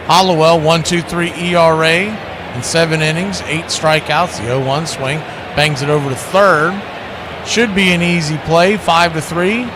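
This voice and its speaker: High-voiced man calling baseball over background noise. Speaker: baseball play-by-play announcer. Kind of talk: live play-by-play commentary.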